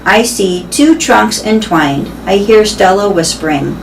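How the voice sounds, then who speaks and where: A woman's voice: mid-range voice, expressive, unhurried, recorded from a distance, low-quality recording. A woman reading a children's story aloud in a storytelling style. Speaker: reader of a children's novel. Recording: clear background noise.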